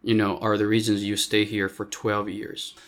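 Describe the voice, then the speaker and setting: A man's voice: on the low side, moderate pace. A man interviewing a guest in a casual chat, asking loose questions full of fillers. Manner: brief backchannels, relaxed questions with fillers like "like".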